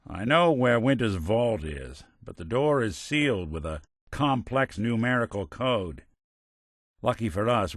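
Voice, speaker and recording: An actor playing a world-weary, wisecracking robot private detective in a video game, with an American accent and a wry, streetwise gumshoe delivery. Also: male voice, mid-range voice, no room sound, studio quality. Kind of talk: scripted game dialogue in a hardboiled noir detective manner.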